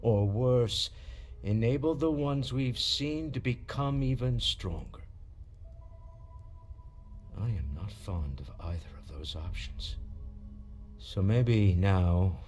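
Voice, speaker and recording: A man's voice: low-pitched, a little mellow. A man voicing a principled, worried space explorer in a video game, earnest and plain-spoken. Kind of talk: naturalistic dramatic game dialogue.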